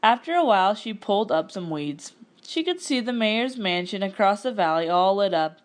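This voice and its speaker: A woman narrating a picture-book story aloud in an expressive, scripted storytelling style. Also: mid-range voice, moderate pace.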